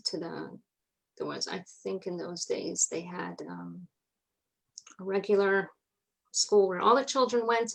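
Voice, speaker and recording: A woman's voice: mid-range voice, poor audio. A woman with a North American accent, speaking as an interview guest and recounting family history in a conversational way. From North America (New York, Atlanta, Montreal). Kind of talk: personal family storytelling in conversation.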